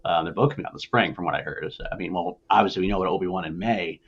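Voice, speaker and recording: Fast-talking man, low voice, dull audio. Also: slightly rough. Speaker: podcast host. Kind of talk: casual pop culture discussion.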